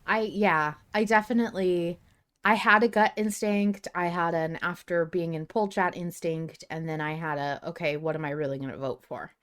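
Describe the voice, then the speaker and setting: Lower-voiced woman chatting on a podcast. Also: fairly even intonation, moderate pace, a bit bright. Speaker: podcast host. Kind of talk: casual podcast discussion of a story.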